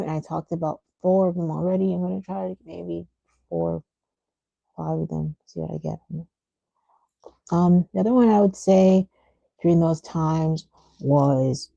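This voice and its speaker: A woman's voice: on the low side, vocal fry, mellow, no room sound. A woman chatting casually on a livestream in an informal, rambling way. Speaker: streamer, host. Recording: muffled lo-fi mic with clicking.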